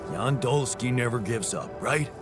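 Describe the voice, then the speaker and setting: Guy acting as tired guarded space base leader, slow and grave, subdued game cutscene talk, loud music underneath. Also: medium pitch, animated, lightly acted. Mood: resolute. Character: weary, guarded male space base leader, stubborn survivor. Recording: music playing behind the voice.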